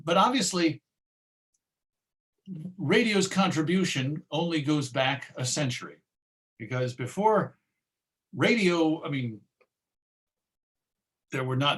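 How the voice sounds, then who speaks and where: A man presenting an informal illustrated lecture in a conversational, explanatory way. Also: fairly high pitch.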